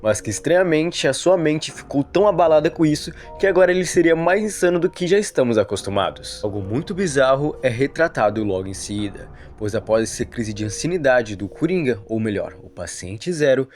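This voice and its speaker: A man's voice: medium pitch, expressive, brisk, hardly any pauses, darkish tone. A man with a Brazilian accent narrating an explanatory video analysis in a wordy, explainer manner.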